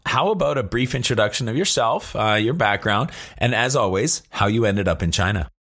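A man hosting a business podcast, reading a measured scripted intro and then asking loose questions with fillers. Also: lowish voice, lively intonation, a bit bright, husky. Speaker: podcast host.

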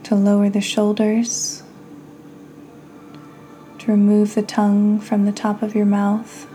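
A woman hosting a guided meditation, speaking soothingly in short phrases with long pauses and breathy endings. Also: mid-range voice, completely flat delivery, mellow, soft background music. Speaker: podcast host and meditation guide.

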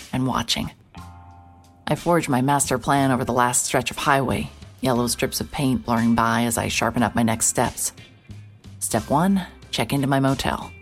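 Very low-voiced, hard-hearted female private eye. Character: hard-hearted female private investigator, brooding noir narrator. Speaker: narrator and lead character. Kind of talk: first-person noir scripted narration.

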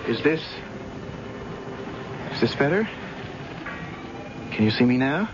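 An actor playing a grimly resolved avenger, measured and accusing, in a radio mystery drama. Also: male voice, mid-range voice, big pitch swings, noisy background. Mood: cool, accusing. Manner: measured, confrontational. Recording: muffled old radio broadcast, music underneath.

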